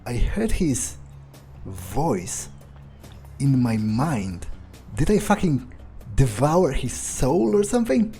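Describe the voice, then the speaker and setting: A ruthless, foul-mouthed vampire, played by a man in an improvised game monologue. He speaks slowly in a dark, relaxed voice at a middling pitch, weighing things aloud with halting, menacing calm. Faint music plays behind him. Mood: curious, startled. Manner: musing, halting, profane, thinking aloud.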